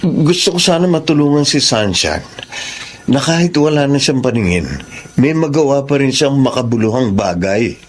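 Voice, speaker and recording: A man's voice: mid-range voice, lively intonation, normal speed, a little hiss or hum, lightly acted. An actor playing an earnest, helpful male teacher in a Filipino radio drama, with a Filipino accent. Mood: earnest, hopeful. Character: earnest, helpful nephew who teaches photography students.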